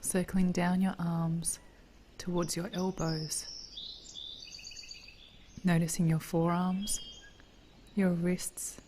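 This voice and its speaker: Very slow, hushed woman guiding meditation. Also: lowish voice, level tone, slight background noise. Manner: gentle, soothing, hushed with breathy phrase endings. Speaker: meditation guide. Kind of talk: guided meditation.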